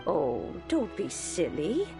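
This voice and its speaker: A woman voicing a wise, kindly old granny in a video game, unhurried and gently chiding. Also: lowish voice, huge pitch swings, gravelly, over loud music, heavily acted. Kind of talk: video game dialogue acting.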